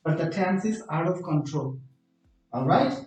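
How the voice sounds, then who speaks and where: A Bangladeshi-accented man, Bengali his first language, explains grammar slowly and patiently to a class, checking in with questions. His voice sits at an ordinary middle pitch. The sound is very echoey, picked up from a distance, with faint music behind. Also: expressive, darkish tone, highs cut off. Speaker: English teacher.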